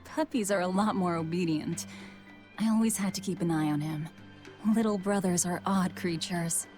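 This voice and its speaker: A woman's voice: on the low side, normal speed, music in the background, natural voice. An actress playing a tough, guarded young woman in a video game, blunt and commanding with a dry manner. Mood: dry, wistful.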